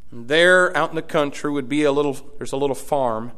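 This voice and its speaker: A man's voice: on the high side, brisk, fairly bright tone, clean tone. Impassioned preacher man. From Indiana, USA. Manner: impassioned preaching that swells and drops in volume. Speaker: pastor. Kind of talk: sermon.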